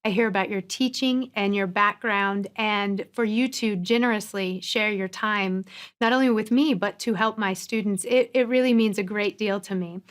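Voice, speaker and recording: A woman's voice: medium pitch, normal speed. A woman teacher interviewing a guest, speaking in an earnest, grateful and careful way, with small restarts as she frames her questions. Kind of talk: formal interview.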